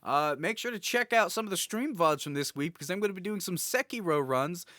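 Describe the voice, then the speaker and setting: A man's voice: high voice, lively intonation, brisk, sharp tone, no room sound, studio quality. A young man hosting a podcast with casual banter and a slangy, sweary way of talking. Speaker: podcast host.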